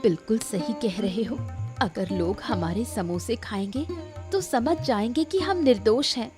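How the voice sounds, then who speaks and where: A woman's voice: a little high, expressive, unhurried. A woman voicing a caring, earnest, practical village wife in a Hindi moral-story cartoon. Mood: resolved. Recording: music under the voice.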